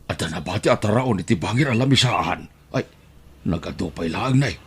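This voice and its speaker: A man acts out a penny-pinching Ilocano uncle in an audio drama. The voice is rough and husky, with animated swings in pitch. He wheedles and exclaims greedily. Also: fairly quick. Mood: wheedling.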